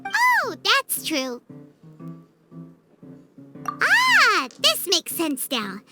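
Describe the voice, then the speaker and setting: A woman voicing a tiny, excitable fairy girl sidekick with a childlike, exclamatory manner in an anime game dub. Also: extremely high pitch, drawn-out words, full character voice. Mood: curious. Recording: music behind the voice.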